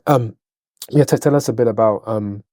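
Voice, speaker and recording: A man's voice: mid-range voice, big pitch swings, a little slow, a little mellow, no echo, studio quality. A man hosting a podcast interview who thanks his guest over and over, talks eagerly and sometimes stumbles over his words. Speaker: podcast host. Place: podcast studio.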